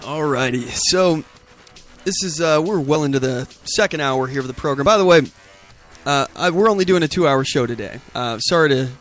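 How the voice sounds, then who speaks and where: A man's voice: on the high side, normal speed, a bit bright, faint music behind. A man hosting a political talk show, giving ad-libbed commentary with emphatic rhetorical questions.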